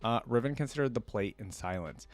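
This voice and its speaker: A man's voice: mid-range voice, animated, brisk. A man reading a fantasy story aloud and breaking off for casual, informal commentary. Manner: informal, tag questions like "right?". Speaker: reaction video host.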